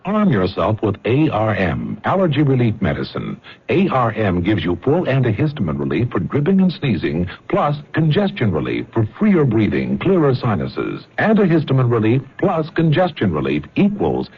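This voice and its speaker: A man announcing a 1970s radio commercial with a punchy, emphatic sales pitch. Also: wildly swooping pitch, moderate pace, slightly rough. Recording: dull vintage radio ad with faint music.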